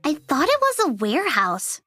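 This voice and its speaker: A woman's voice: high voice, wildly swooping pitch, normal speed, sharp tone, no room sound, soft background music, heavily acted. A woman voicing a feisty, irritable young female android soldier in an anime game, brash and exasperated. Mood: exasperated, grumbling.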